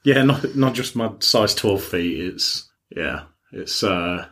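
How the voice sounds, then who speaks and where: A man co-hosting a casual music podcast, often halting and searching for words. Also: lowish voice, lively intonation, a bit bright, slightly rough. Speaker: podcast co-host.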